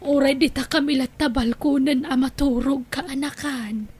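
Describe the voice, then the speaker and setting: A woman's voice: sits high, steady tone, normal speed, a little mellow, close to an everyday voice. A woman playing a humble, pleading older wife and grandmother with an Ilocano accent in an audio drama.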